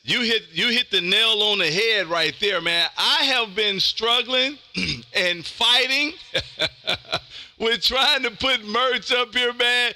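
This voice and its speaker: An American man hosting a live amateur radio stream, loud and exuberant, repeating himself for hype as he chats and calls stations. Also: extremely high pitch, slow. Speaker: amateur radio livestream host.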